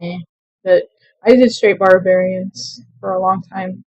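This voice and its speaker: A young woman casually commenting on her own strategy game play, chatty and quick to laugh at herself. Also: mid-range voice, fairly even intonation, darkish tone, no echo, lo-fi sound. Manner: chatty, self-deprecating, laughs often. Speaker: let's play gamer.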